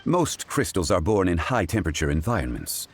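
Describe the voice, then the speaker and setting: A man voicing a composed, modest, erudite gentleman in a video game, formal, measured and courteous. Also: low-pitched, big pitch swings, slight background noise. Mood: thoughtful, assured. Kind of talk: video game dialogue acting.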